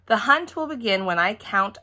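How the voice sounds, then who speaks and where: A woman's voice: mid-range voice, animated, moderate pace, bright, forceful tone, pitched above the performer's own voice. A woman voicing a stern, exasperated, rule-giving father in a children's storybook. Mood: announcing. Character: stern, exasperated father running an egg hunt.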